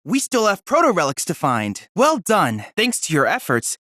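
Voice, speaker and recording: Bookish android boy, high-pitched, formal, precise, earnest, animated game dialogue. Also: moderate pace, dry recording, studio quality, full character voice. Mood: earnest, purposeful. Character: polite, eager, bookish android boy research assistant. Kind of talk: scripted video game dialogue.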